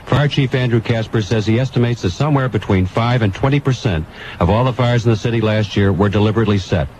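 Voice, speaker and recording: A man's voice: lowish voice, fast, a little mellow. An American man delivering a scripted radio editorial as a station manager. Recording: dull noisy 1970s radio broadcast.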